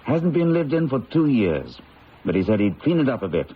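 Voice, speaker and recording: An actor playing an enthusiastic, sociable researcher with a hearty, exclamatory way of talking in an old-time radio drama. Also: male voice, mid-range voice, very animated, moderate pace, husky, heavily acted. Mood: hearty, excited. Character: enthusiastic, sociable British psychology researcher, breezy friend. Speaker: actor playing the lead's colleague. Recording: dull, hissy old radio recording.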